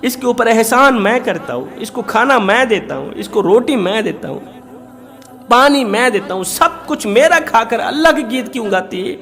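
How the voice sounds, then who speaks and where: A man's voice: very high-pitched, lively intonation, normal speed, a bit bright, voice pushed up, heavily acted. A man telling a moral tale, voicing a resentful, boastful husband who complains in repeated indignant questions. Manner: indignant, repetitive rhetorical complaining. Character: resentful hypocrite husband, jealous and boastful of his generosity. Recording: long hall reverb.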